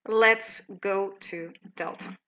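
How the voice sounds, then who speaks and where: A woman in her thirties with a Russian accent, presenting a live teaching webinar in a chatty, lively way with many fillers. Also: mid-range voice, slow pace.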